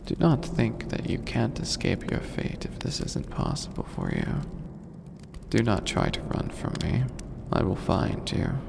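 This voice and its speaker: Man voicing a slow, gravelly skeleton demon overlord. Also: fairly low pitch, wildly swooping pitch, background noise, exaggerated voice. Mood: menacing. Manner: slow, theatrical, formal, drawn-out phrasing. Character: skeleton demon overlord, imperious, bored, menacing yet playful. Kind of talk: ASMR fantasy roleplay.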